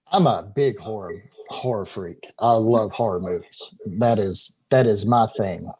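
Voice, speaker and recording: A man's voice: on the low side, measured pace, dark tone, breathy edge, poor audio. A middle-aged man, talking as a guest on a video call interview, rambling and repeating words as he goes. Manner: talkative, stumbles and repeats words, laughs easily. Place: home room.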